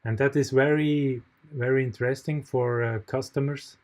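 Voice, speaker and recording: A Swiss man with a mid-pitched voice teaches an online course in English, his second language. He pauses often, fills gaps with "uh" and restarts sentences as he walks through a shared screen. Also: steady tone, darkish tone. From Switzerland. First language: not English. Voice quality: hesitant, frequent fillers and restarts. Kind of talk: recorded tutorial with screen share.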